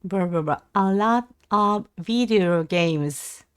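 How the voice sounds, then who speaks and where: A Japanese woman with a Japanese accent, practising language aloud on a casual livestream in a halting, hesitant way. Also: mid-range voice, clear, resonant tone, dry recording, clean studio sound. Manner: hesitant, halting English with self-corrections. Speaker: live streamer learning English. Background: computer keyboard typing and clicking.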